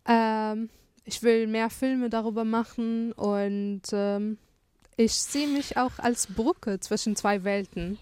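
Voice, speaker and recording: Syrian woman with smooth, clear voice. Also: on the high side, fairly even intonation, takes it slowly, darkish tone, no echo. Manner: thoughtful, searches for words with frequent hesitations. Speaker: guest, TV journalist. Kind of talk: radio interview.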